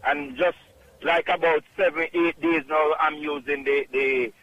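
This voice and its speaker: A middle-aged man from Jamaica with a Jamaican accent, calling in to a radio show and speaking in an emphatic, halting, repetitive way. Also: fairly high pitch, steady tone, highs cut off, slight background noise. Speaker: caller. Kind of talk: radio call-in testimonial.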